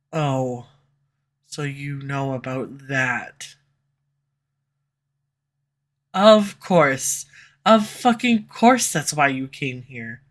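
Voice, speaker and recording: A woman voicing a sharp-tongued, tsundere female villain who swears and speaks sarcastically, recorded close on a studio condenser mic. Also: deep voice. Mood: rattled. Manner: sarcastic, swears, turns confessional. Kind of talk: audio roleplay. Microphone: studio USB condenser mic.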